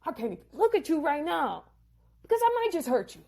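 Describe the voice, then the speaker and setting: A woman's voice: high voice, very animated, a little fast, creaky, mellow, voice pushed up, exaggerated voice. A woman voicing Laban, an angry, scheming older man who rants in slang and claims everything as his own. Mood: indignant ranting. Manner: slangy, ranting, possessive.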